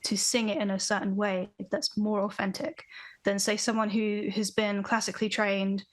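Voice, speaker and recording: A young woman, a band vocalist guest on a podcast, talking in a thoughtful, animated way with frequent restarts over a video call from a room at home. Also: medium pitch, normal speed, poor audio. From Britain. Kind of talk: casual interview chat. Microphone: video call, webcam.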